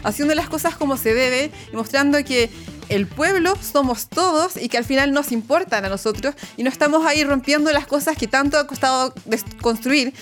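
A woman's voice: sits high, fairly bright tone. A Chilean woman speaking as a political candidate in a casual campaign interview, explaining her plans.

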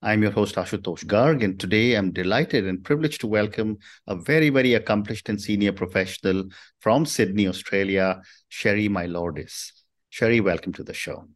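A man hosting an interview podcast, introducing a guest and asking questions. He sounds measured and affirming, often murmuring agreement as he listens. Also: lowish voice. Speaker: host.

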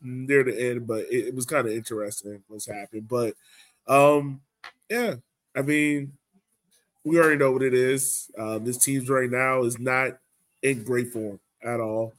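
A man's voice: mid-range voice, steady tone, a little slow. A man hosting a sports podcast, chatting casually and informally. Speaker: podcast host.

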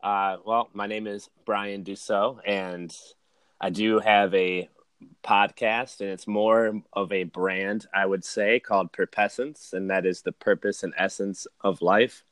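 A man's voice: fairly low pitch, fairly even intonation, measured pace, fairly bright tone, no echo. A man in his early thirties talking as a podcast guest, casual and slangy, with lots of "like", "man" and "dude". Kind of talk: casual chat, personal storytelling.

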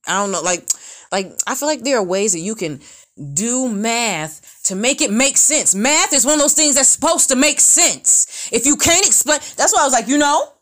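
A woman in her late twenties talking in a casual, rambling monologue, profane and animated, full of fillers. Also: fairly high pitch, normal speed. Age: 20s.

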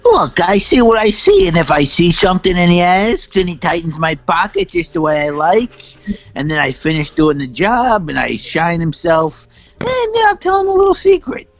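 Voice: mid-range voice, big pitch swings, moderate pace, highs cut off. A performer voicing a wheedling twelve-year-old shoeshine boy in an improvised radio drama parody. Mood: sly, insinuating. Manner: insinuating, eager, melodramatic.

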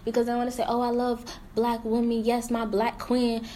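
A woman's voice: a little high, monotone, moderate pace, mellow, slight background noise. Blunt, slangy woman in casual chat. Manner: blunt, candid, slangy, with frequent "mm-hmm" backchannels.

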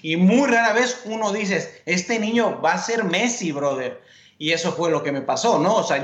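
Mexican man, 40s, high voice in a roomy space, relaxed joking storyteller. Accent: Mexican Spanish. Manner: relaxed storytelling, joking, uses "compadre" and "brother". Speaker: TV sports and entertainment host, guest. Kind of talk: casual interview chat.

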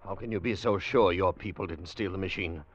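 A man's voice: sits low, a little fast. An actor playing a suspicious, anxious male time traveller in an old radio drama, insistent and theatrical. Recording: muffled 1950 radio recording.

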